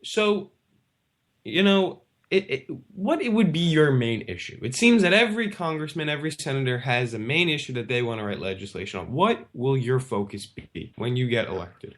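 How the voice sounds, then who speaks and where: A man's voice: mid-range voice, expressive, moderate pace, fairly bright tone. A young man hosting an interview in a casual, conversational style. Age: young adult. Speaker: interviewer, host.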